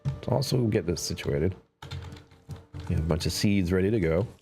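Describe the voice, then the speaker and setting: A man's voice: sits low, moderate pace, creaky, a little mellow, soft background music. A man chatting casually while playing a survival game on a live stream, his voice a little strained, musing to himself and drifting into whispers. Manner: chatty, self-talking, trails off into whispers. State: slightly strained voice. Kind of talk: casual gameplay commentary.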